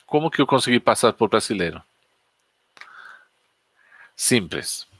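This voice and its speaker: A man with a Spanish accent speaks in a fairly low voice, telling a story in an easy, chatty way. His native tongue is Spanish, and he teaches as if chatting with his viewers on a live stream. The sound is close and dry, with no room echo. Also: lively intonation, unhurried, a bit bright. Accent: Spanish from Spain. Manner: chatty, anecdotal, asks the audience questions.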